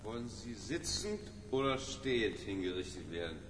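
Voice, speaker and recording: A man's voice: lowish voice, big pitch swings, normal speed. An actor playing a grim avenger in a radio drama, questioning his victim in a formal, insistent and menacing manner. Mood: cold, menacing. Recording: echoey hall, faint noise.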